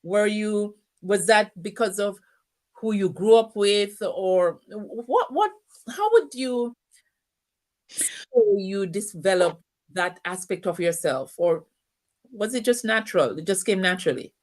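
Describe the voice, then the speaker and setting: A woman's voice: medium pitch, expressive, slow pace, a little mellow. A woman hosting an interview, encouraging her guest and asking long, rambling questions. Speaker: host. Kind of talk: interview host.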